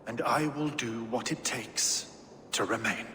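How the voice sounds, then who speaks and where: A male actor playing a world-weary, brooding Viking warlord in a video game, with a grave, deliberate delivery. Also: mid-range voice, close to an everyday voice. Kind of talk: dramatic video game acting. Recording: echoey reverberant space, loud background noise.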